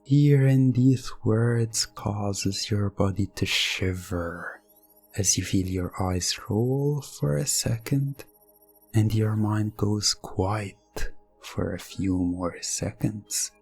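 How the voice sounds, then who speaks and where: A man narrating scripted hypnosis ASMR in the second person, drawing out his phrases in a halting, suggestive way. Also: fairly low pitch, vocal fry, darkish tone. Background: music.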